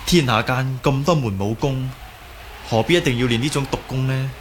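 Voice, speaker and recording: An actor playing a concerned, good-natured young martial arts hero in a Cantonese radio drama, earnest and hesitant. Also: male voice, medium pitch, moderate pace, natural voice. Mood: earnest, worried. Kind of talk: Cantonese audio drama acting. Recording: clearly noisy background.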